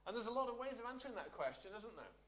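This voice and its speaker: A man's voice: very high voice, big pitch swings, racing, fairly bright tone. A man preaching a sermon, often asking the listeners rhetorical questions. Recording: echoey room.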